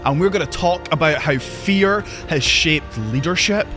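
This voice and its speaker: A man with a Glasgow accent, co-hosting a faith podcast in a jovial way and chiming in with quick agreements. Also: a little high, sharp tone, soft background music. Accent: Scottish, Glaswegian. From Scotland. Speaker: podcast co-host. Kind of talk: casual chat and promo intro.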